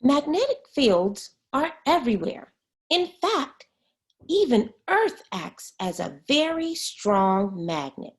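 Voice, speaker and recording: A woman reading a children's science book aloud as a teacher, expressively and pausing to explain words. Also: high voice, sing-song.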